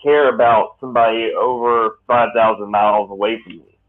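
A man in his late thirties talks in a rambling way, stopping and restarting often. His voice sits fairly low. The sound is thin and tinny, like a phone line. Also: fairly even intonation. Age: late 30s. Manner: rambling, halting with many restarts. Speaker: podcast host. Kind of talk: casual podcast chat.

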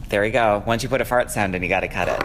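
A man's voice: on the low side, very fast, fairly bright tone. A man hosting a podcast, chatting casually and playfully on a studio set, rambling from one aside to the next. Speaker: podcast host. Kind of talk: casual chat. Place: studio set for a photo shoot. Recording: echoey room with noise.

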